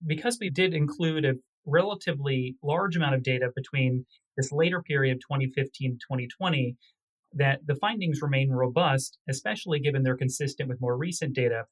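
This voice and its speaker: A man's voice: mid-range voice, brisk, rough recording. Male research physician guest. Medium register, formal technical explanation, precise and careful phrasing.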